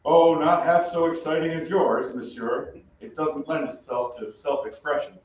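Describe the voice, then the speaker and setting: A man's voice: on the high side, fairly even intonation, normal speed, slight background noise, close to an everyday voice. Man playing formal police inspector, heavy echo. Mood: stiffly polite. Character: stiff, formal male French police inspector, drily suspicious. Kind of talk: old-time radio drama acting. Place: theatre stage. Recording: very echoey stage, distant muffled mic.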